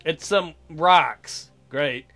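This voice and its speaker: Guy with a high bright voice doing a let's play, reading the game text then goofing on it, cheeky and irreverent. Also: very slow, soft background music. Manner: irreverent, playful, mocking. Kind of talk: gaming commentary, reading visual novel aloud with crude joking asides.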